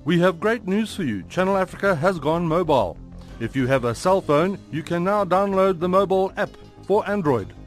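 Male ad announcer, high and animated, light music bed. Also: moderate pace. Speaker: station announcer. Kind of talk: radio advert read.

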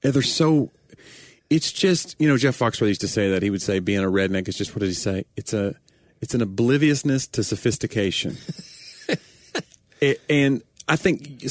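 A man's voice: medium pitch, normal speed, dry recording, studio quality. A man from Tennessee with a Southern accent, co-hosting a podcast in a joking, rambling chat. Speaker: podcast co-host. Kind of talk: casual chat.

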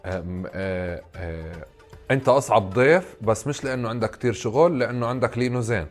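A man's voice: lowish voice, quick pace, faint music behind. A man hosting a podcast, greeting and questioning his guest in a friendly way, with some hesitant fillers. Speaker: podcast host.

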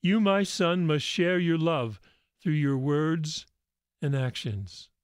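A man voicing God the Father as a solemn, measured inner voice in a religious story, commanding yet reassuring. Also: on the high side, no room sound, studio quality. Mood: solemn, loving. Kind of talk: dramatic religious story reading.

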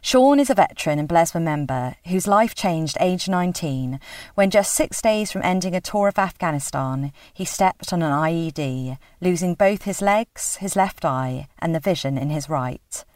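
A woman hosting a podcast, reading a formal introduction in a measured way before asking interview questions. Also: on the low side, expressive, darkish tone, dry recording, studio quality, far from the mic. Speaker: podcast host.

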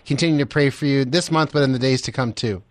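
A man's voice: medium pitch, fast talker, dry recording. A man hosting a radio interview in a conversational, encouraging and affirming manner.

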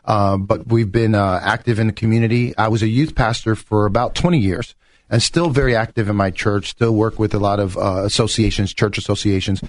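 A man's voice: lowish voice, steady tone, fairly quick, dry recording. A middle-aged man from New York talking conversationally as a political candidate in a radio interview. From New York, United States.

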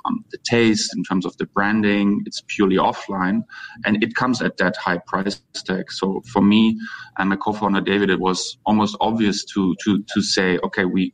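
A man's voice: on the low side, rough recording. A man from Germany with a German accent, answering interview questions as a guest and repeating words as he forms his thoughts. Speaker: interview guest, company co-founder. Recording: faint background noise.

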